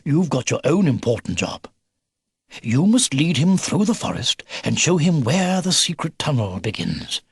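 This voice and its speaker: Storybook old beaver grandpa played by a man: hearty military bluster, kindly, gruff gravel, very animated delivery. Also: mid-range voice, no echo, studio quality, full character voice. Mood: hushed, firm. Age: older.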